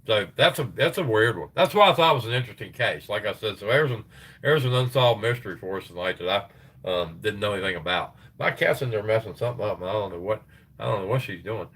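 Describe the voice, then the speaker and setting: A middle-aged man hosting a casual livestream, reading sources aloud and chatting loosely with his viewers. His voice is getting tired and hoarse. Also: fairly low pitch, expressive, fast talker, fairly bright tone. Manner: rambling, reads sources aloud, chats with viewers. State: voice tiring, gets hoarse. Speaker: livestream host.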